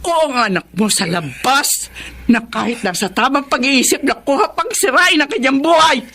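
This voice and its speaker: A passionate, stern middle-aged mother with a high voice that swings widely. She is emphatic and confrontational in the full dramatic manner of radio soap. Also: lively intonation, moderate pace, bright, forceful tone. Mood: angry, accusing. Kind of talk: Tagalog radio drama acting.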